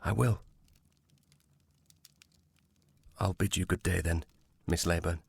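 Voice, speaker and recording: An actor playing a courteous, reserved police sergeant in a period audio drama, speaking in a formal, measured manner. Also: male voice, low voice, steady tone, creaky, husky, no room sound, heavily acted. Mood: sober, earnest.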